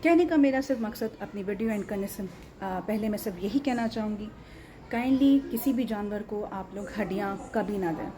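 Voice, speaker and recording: A woman's voice: medium pitch, moderate pace, dark tone. A woman with a Pakistani accent talking earnestly and emphatically to viewers in a casual vlog, repeating phrases and mixing in English words. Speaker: animal rescuer and vlogger. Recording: muted tone with background noise. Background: ambient background noise.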